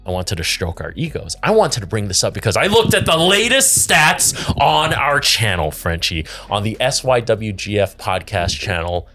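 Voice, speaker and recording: Lively, playful male podcast host. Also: lowish voice, moderate pace, hardly any pauses, faint music behind. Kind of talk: casual podcast chat, reading viewer comments. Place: podcast studio.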